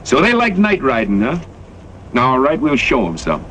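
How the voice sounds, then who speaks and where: An actor playing a cocky, taunting American hired gunslinger with a sly, mock-innocent drawl in a Western radio drama. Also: male voice, medium pitch, wildly swooping pitch, moderate pace, a bit bright, low-quality recording. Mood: gleeful menace. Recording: faint noise, old radio drama recording.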